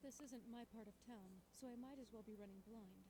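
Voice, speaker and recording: A woman's voice: fairly high pitch, fairly quick, dark tone, lightly acted, low-quality recording. Woman acting a tense, defiant young cyberpunk fixer. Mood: urgent, dramatic. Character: tough, defiant young female cyberpunk fixer narrating her struggle. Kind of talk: dramatic first-person visual novel narration.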